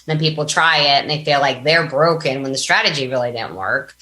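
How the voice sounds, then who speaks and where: A woman's voice: a bass voice, a little fast, bright, forceful tone, reverberant. An American woman speaking as a podcast guest, telling personal stories in an informal, emphatic conversational way. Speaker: podcast guest, business coach. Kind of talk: conversational interview, personal anecdotes.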